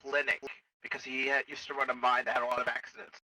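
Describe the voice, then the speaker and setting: A man playing a blunt, matter-of-fact military medic in improvised sci-fi game roleplay, explaining things haltingly. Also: medium pitch, little pitch movement, pushed and bright, dry recording, low-quality recording.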